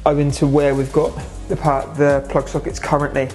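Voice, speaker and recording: A man's voice: fairly high pitch, little pitch movement, moderate pace, a little mellow, faint music behind. A young man from England talking casually and matter-of-factly through a restaurant renovation, speaking to a camera in a large indoor space. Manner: casual, matter-of-fact, lots of "so" and "obviously". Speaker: restaurant owner. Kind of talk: vlog update on a restaurant renovation.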